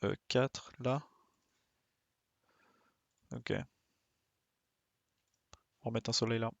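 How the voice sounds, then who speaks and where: A man's voice: mid-range voice, fairly even intonation, very slow, vocal fry, no room sound, dull audio. A man giving casual live game commentary, muttering his reactions to himself. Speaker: game streamer.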